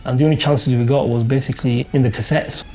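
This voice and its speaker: A man's voice: medium pitch, fairly even intonation, fast talker, dark tone, faint music behind. A middle-aged British man telling a personal story in an informal, colloquial, conversational way. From UK, Pakistani family. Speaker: interviewee.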